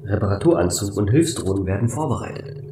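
A man voicing a calm, polite station computer in a German radio play, measured, formal and matter-of-fact. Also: fairly low pitch, close to an everyday voice. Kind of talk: radio drama acting. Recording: roomy, distant, faint noise.

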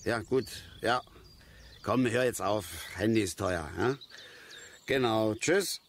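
Grumbly middle aged fisherman dad, radio play, lowish voice, halting, loud outdoor noise behind. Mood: sheepish, grumbling. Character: gruff, stubborn, brooding father and angler, male. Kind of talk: German radio drama acting. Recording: noisy outdoor ambience behind the voice.

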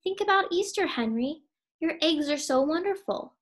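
A woman's voice: very high voice, animated, moderate pace, voice pushed up. A woman voicing a fretful, pleading female hare who coaxes her husband in a storybook. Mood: coaxing. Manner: pleading, exclaiming.

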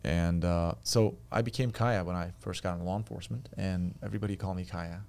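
Gravelly Turkish-accented man in his thirties. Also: sits low, fast. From Turkey. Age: 30s. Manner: relaxed, chatty storytelling with frequent fillers. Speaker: podcast guest. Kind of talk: casual interview chat. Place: small podcast studio. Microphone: studio boom mic with headphones.

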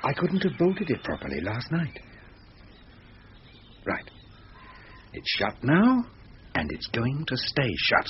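An actor playing a sceptical, exasperated husband in a thriller radio drama, with a dry, sardonic, practical manner. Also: male voice, mid-range voice, wildly swooping pitch, slow, pauses often, exaggerated voice. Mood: sceptical, firm. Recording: dull lo-fi radio recording, faint noise.

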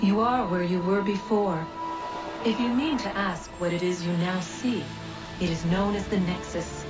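A woman acts out a serene female spirit in a video game drama. She is formal and measured, in a mid-pitched voice. Music plays clearly behind her. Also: steady tone, recorded from a distance, lightly acted.

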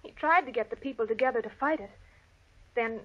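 High, husky, resolute young daughter. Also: female voice, a little fast. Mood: somber. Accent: American. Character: earnest, resolute young daughter of slain preacher. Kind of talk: 1940s-50s radio western acting. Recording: dull vintage radio recording.

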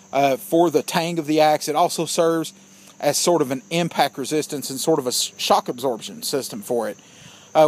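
Plainspoken man reviewing gear. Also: a little high. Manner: plainspoken, opinionated, keeps addressing viewers as guys. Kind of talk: casual product review vlog.

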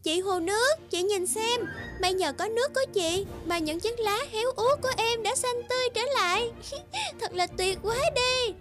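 A woman's voice: very high-pitched, normal speed, fairly bright tone, soft background music. A woman voicing a small, kind, polite young morning glory flower in a children's cartoon fairy tale. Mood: grateful. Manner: polite, sweet, exclamatory.

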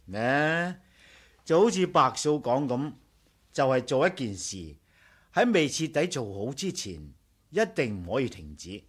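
A man's voice: medium pitch, sing-song, a little slow, fairly bright tone, slightly rough, exaggerated voice. An actor playing a confident, curious male scientist in a Cantonese radio drama, explaining things reassuringly and methodically. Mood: curious, eager.

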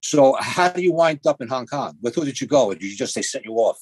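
An older man asks measured, leading questions as a podcast host, speaking from a home study over a webcam. His voice is husky, and it sounds dry and close with no room in it. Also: mid-range voice, expressive, dry recording. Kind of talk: interview questions. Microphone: video call webcam.

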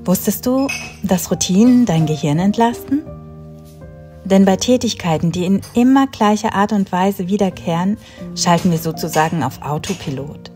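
A woman in her thirties calmly narrating a home cleaning routine in a voice-over, giving step-by-step tips straight to the viewer. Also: mid-range voice, moderate pace, faint music behind. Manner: calm, instructive, talks directly to the viewer. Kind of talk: calm scripted voice-over narration.

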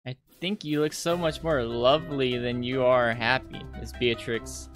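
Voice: medium pitch, steady tone, a little slow, faint music behind, lightly acted. A visual novel player voicing a cheerful, adoring schoolgirl in an eager, gushing manner.

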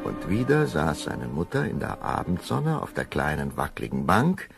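A man narrating a children's fairy tale as an expressive storyteller, savoring the words with wry relish. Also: a bass voice, normal speed, gravelly, faint music behind, exaggerated voice. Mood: storytelling.

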